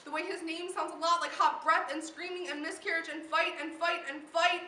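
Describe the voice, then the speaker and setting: A woman's voice: very high voice, fairly even intonation, brisk, bright, forceful tone. A teenage young woman performing slam poetry in a dramatic, emphatic recitation that builds through long lists. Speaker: slam poet. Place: live poetry event, recorded from a distance. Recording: distant echoey room recording with noise.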